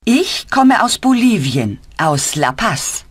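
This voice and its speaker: A woman's voice: medium pitch, very animated, slow pace, slightly rough, no room sound, heavily acted. A woman voicing a helpful adult woman who gives short answers in a scripted learner dialogue.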